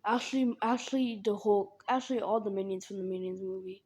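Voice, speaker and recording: A young woman who speaks haltingly, often repeating and restarting her words, as she talks casually to her viewers. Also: medium pitch, darkish tone, husky. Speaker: animation creator. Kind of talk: casual vlog appeal.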